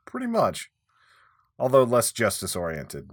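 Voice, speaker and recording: A man co-hosting a casual podcast, relaxed, with short replies and easy laughter. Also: medium pitch, a little slow, no echo, clean studio sound. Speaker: podcast co-host. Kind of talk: casual chat.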